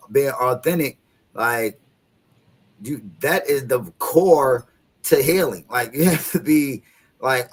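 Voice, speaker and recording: A man's voice: medium pitch, breathy edge. Guest coach, man in his thirties, medium voice, easygoing and thoughtful, lots of conversational fillers. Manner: relaxed, thoughtful, many "you know what I mean" fillers. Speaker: podcast guest, encouragement coach. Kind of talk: reflective casual conversation.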